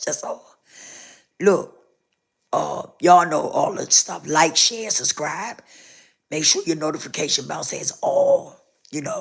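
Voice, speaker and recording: A woman hosting a casual live stream, hoarse with a rough, scratchy voice, repeating herself as she talks straight to her viewers. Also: deep voice.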